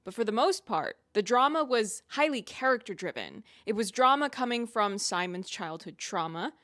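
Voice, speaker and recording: A woman giving conversational video commentary as a reviewer, putting deliberate emphasis on key words. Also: medium pitch, lively intonation, a bit bright, no room sound, studio quality. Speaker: video essayist and reviewer on her own channel.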